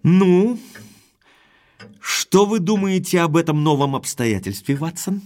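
An actor playing an earnest young gentleman heir in an audio drama, direct, courteous and inquisitive, with quiet authority. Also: on the high side, expressive, slow.

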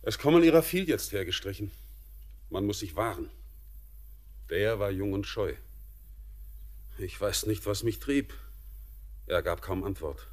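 Stern mining foreman with feeling, low voice, declamatory in classic radio play. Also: animated, unhurried, long pauses. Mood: pensive. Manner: declamatory, earnest. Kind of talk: poetic German radio play acting.

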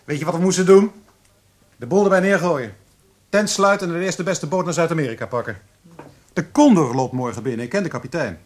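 A world-weary, sardonic son in a radio drama, with a fairly high male voice. He talks casually and sounds exasperated. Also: lively intonation, fairly quick. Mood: restless, flippant. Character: weary, sardonic, debt-ridden grown-up son. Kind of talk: Dutch radio play acting.

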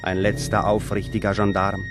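A man formally reading the credits of a radio drama in a measured way. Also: fairly low pitch, completely flat delivery. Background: music.

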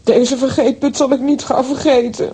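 A woman acting an excitable, exclamatory female character in a children's audio drama. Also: moderate pace, close to an everyday voice. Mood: excited. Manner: theatrical, exclamatory. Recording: faint noise and low rumble.

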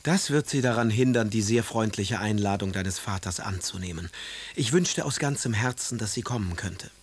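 An actor playing a moody, sardonic aristocratic poet in a German radio play, speaking letters in wry, eloquent phrases. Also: male voice, lowish voice, moderate pace, a little hiss or hum, close to an everyday voice. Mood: tender, courteous.

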